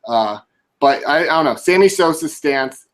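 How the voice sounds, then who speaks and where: A man's voice: on the high side, animated, moderate pace, a little hiss or hum. Chatty young man, guest on a podcast. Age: 20s. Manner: chatty, laughs easily, lots of "like". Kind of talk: casual podcast interview.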